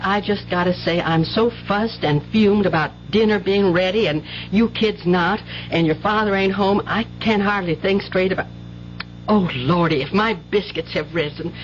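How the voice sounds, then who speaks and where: A woman's voice: mid-range voice, normal speed, natural voice. An actress playing a weary, exasperated mother in a 1970s radio drama, with blunt, folksy country talk. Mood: exasperated, brisk. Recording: muffled, hissy 1970s radio recording.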